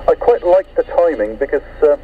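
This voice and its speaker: A man from Britain chatting over CB radio, polite and measured, saying "understood" and "I must admit". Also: on the high side, lively intonation, a little hiss or hum. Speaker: CB radio net participant. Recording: distant phone-like radio audio.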